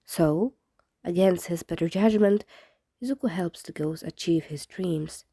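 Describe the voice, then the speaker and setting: A woman's voice: on the low side, unhurried, warm tone, husky, no room sound, clean studio sound. A woman reading fan fiction aloud as scripted narration, in the style of an audiobook. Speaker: fan fiction podfic reader.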